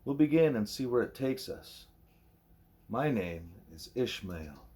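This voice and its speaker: A man reading the part of a wise, patient gorilla teacher in an audiobook, speaking in formal, measured, probing sentences. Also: mid-range voice, sing-song, mellow, slightly rough.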